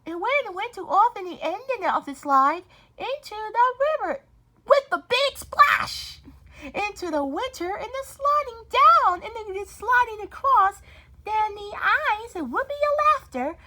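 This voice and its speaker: A woman reading a children's story aloud as an amateur, haltingly and stumbling over words. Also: extremely high pitch, very animated, bright, forceful tone. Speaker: storybook reader.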